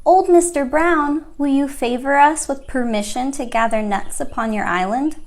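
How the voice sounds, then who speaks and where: A small, well-mannered squirrel from a children's storybook, voiced by a woman reader. The voice lifts higher and speaks with formal courtesy. It sounds deferential, as if humbly asking a favor. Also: normal speed, natural voice. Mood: polite pleading. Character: polite, deferential little squirrels asking permission. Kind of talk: children's storybook read-aloud.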